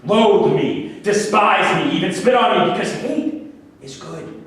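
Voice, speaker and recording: A man's voice: sits high, expressive, a little slow, a bit bright. An actor playing a delusional, manipulative murderer obsessed with a woman, declaiming in a grandiose, preachy way in a stage play reading. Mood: seductive, intense. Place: large room at a staged reading. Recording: very echoey room, far mic, faint noise.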